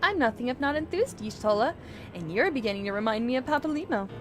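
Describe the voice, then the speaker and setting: A woman's voice: a little high, big pitch swings, quick pace, music in the background, pitched above the performer's own voice. A woman voicing an enthusiastic, bold young female fighter from a fantasy game, eager and punchy.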